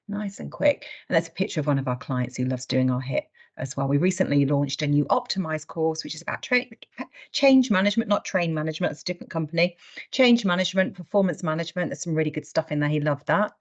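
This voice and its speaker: A woman's voice: low voice, a little fast. A woman hosting a webinar informally, chatty and given to acting out imagined remarks. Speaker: webinar host and trainer. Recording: dull lo-fi webinar audio.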